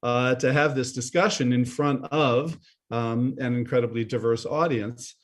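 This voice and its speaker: Brief: male panelist on a video call, smooth business pitch, a few restarts. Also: steady tone, unhurried. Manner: fluent business pitch with some repeated starts. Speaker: venture capital partner, panelist.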